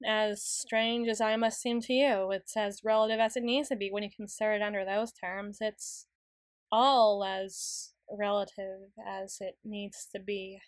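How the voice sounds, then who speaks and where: A woman voicing a flustered, self-doubting, bookish young tsundere in a halting ASMR roleplay monologue. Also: mid-range voice, flat delivery, no room sound, clean studio sound, natural voice. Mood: awkward, flustered. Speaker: audio roleplay performer.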